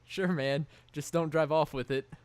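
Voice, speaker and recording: A man's voice: on the high side, fairly even intonation, measured pace, natural voice. A man playing a friendly, easygoing stranded motorist in an audio drama, talking casually with slang.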